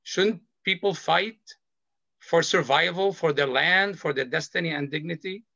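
A middle-aged man from Lebanon, a panelist sharing a personal story in a slow, earnest, measured way over a video call. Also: fairly high pitch, gravelly, sharp tone, no room sound. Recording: dull video call audio.

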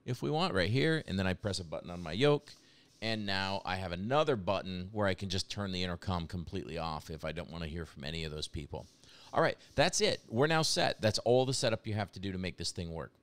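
A man narrating a casual software demonstration in a conversational, explanatory way. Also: sits low, clean tone. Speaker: software demo presenter.

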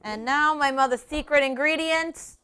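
A woman's voice: high voice, moderate pace, sharp tone. A woman, casually explaining cooking steps as a show host in a kitchen. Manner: explains step by step in chatty asides. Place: home-style kitchen, indoors.

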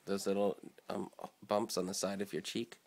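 A man chatting casually at bedtime, helping a young reader along with brief, encouraging, low-key replies in a bedroom. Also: flat delivery, normal speed, vocal fry, darkish tone. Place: child's bedroom.

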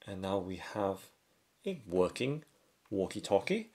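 A man giving a casual hands-on product demonstration, talking step by step in short, halting phrases. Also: deep voice, sing-song, darkish tone. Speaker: product reviewer.

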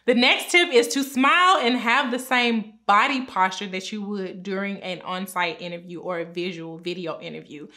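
Upbeat bright-voiced woman giving advice. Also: mid-range voice. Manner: upbeat, clear, instructive, talks with her hands. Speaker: pharmacist giving career advice. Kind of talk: direct-to-camera advice talk. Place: home room indoors, small. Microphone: camera framed at chest height.

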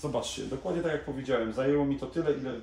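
A middle-pitched man talks casually as he walks a viewer through a coffee showroom, stopping for small hesitations. His voice bounces around a reflective room, with a faint hum of room noise behind him. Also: racing, gravelly, dark tone, roomy sound. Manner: chatty, pointing at shelves while talking, small hesitations. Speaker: coffee shop salesman. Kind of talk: casual product presentation to camera. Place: coffee machine showroom, indoors.

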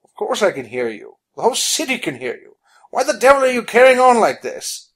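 A narrator voicing a calm, nonchalant gentleman in a storybook, understated and faintly annoyed. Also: medium pitch, brisk, slightly rough. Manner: unruffled, understated.

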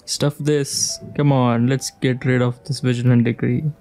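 A woman streamer gives casual, teasing commentary as she plays. Her voice is extremely deep for a woman and mellow, with faint game music underneath. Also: little pitch movement, unhurried. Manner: teasing, giggly, reacting aloud to the game.

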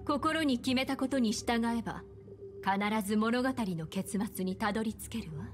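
A woman voicing a calm, enigmatic, seductive woman in an anime game, speaking slowly and soothingly with gentle command. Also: on the high side, slight background noise, close to an everyday voice. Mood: gentle, foretelling. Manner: slow, deliberate, soothing. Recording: background music.

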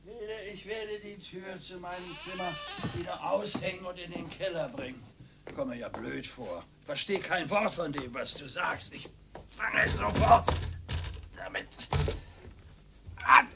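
Radio drama: a peevish old retired husband, high and up-and-down in pitch, slow, self-pitying. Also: male voice, lively intonation, gravelly, heavy background noise, heavily acted. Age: older. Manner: grumbling, self-pitying, whiny. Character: grumpy bored retired husband, older.

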